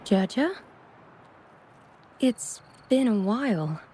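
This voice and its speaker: A woman voicing a kindly, grateful female painter in a video game, gentle and sincere. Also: mid-range voice, animated, drawn-out words, a little hiss or hum. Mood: grateful, apologetic.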